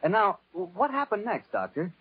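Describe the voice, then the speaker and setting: A genial radio announcer in a vintage drama. His husky voice is lively and chatty, on a muffled recording. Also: high voice, normal speed, exaggerated voice. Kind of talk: 1940s radio acting.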